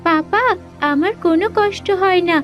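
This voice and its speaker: Lady doing a sweet little village girl for a cartoon, super high voice, swoops around, eager n polite, music behind. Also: normal speed, dull audio. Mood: reassuring. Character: sweet earnest young village girl selling flower garlands. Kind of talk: Bengali animated fairy-tale voice acting.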